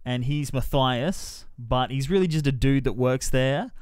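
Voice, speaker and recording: A man with a clear, mid-pitched voice hosts a casual podcast review. He swears freely and slips into mimicked dialogue while retelling things. The sound is dry, with no room around him. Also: unhurried, darkish tone, no room sound. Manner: sweary, mimics characters in quotes. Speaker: podcast host reviewing films. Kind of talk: casual spoiler-filled movie review chat.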